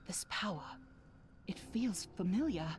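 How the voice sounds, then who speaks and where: A woman's voice: mid-range voice, lively intonation, unhurried, close to an everyday voice. A woman playing a determined young monster hunter in a video game, earnest and focused. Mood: pondering. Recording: music behind the voice.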